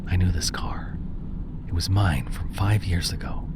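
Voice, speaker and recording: A man giving a dramatic first-person horror narration, often in a breathy half-whisper. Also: low voice, a little slow. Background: music.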